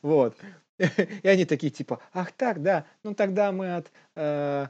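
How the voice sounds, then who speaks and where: A man's voice: high voice, measured pace, darkish tone, dull audio. A man co-hosting a comedy news show, bantering casually and jokingly in slang and acting out bits.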